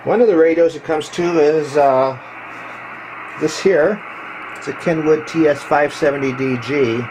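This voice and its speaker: Halting man over clear background noise. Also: a little high. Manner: matter-of-fact, halting, technical explaining. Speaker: ham radio hobbyist showing his equipment. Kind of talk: casual hands-on video walkthrough. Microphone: handheld camera microphone. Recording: clearly noisy handheld camera recording.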